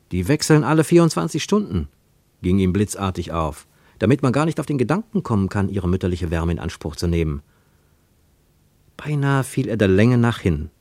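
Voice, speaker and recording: Low, lively male radio-play narrator. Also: normal speed, long pauses, no room sound.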